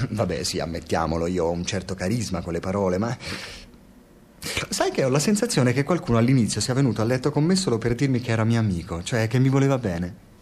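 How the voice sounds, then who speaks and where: A man's voice: on the low side, lively intonation, fast, darkish tone, slight background noise, close to an everyday voice. An actor playing a talkative, self-absorbed older man in a radio drama, telling his stories in a rambling, theatrical, hesitant way. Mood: musing, confiding.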